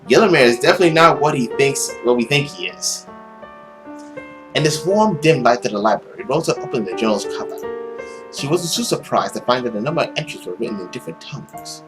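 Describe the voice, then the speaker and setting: A young man with a mid-range voice reads a visual novel aloud for a let's play. His delivery is theatrical and suspenseful, moving freely up and down in pitch. Faint music plays behind him. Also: animated, brisk, rough recording. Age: young adult. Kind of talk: dramatic read-aloud of a visual novel with casual commentary. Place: indoor room at home. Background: game music.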